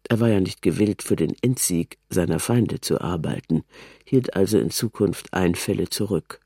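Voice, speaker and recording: Low, mellow, slightly husky male narrator. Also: dry recording, clean studio sound. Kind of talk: scripted literary documentary narration. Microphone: close studio microphone, dry.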